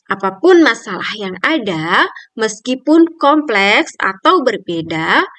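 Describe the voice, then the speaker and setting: A woman's voice: a little high, fairly bright tone, no echo. A young adult woman reading a scripted article review in a careful, point-by-point manner, like a student presenter.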